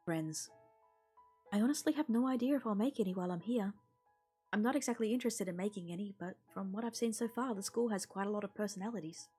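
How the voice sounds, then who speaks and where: A woman with a somewhat raspy, mid-pitched voice is streaming a visual novel. She reads the narration aloud with expression and drops in casual asides. Game music plays behind her voice. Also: fast, warm tone. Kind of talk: let's play, reading game text aloud with casual asides.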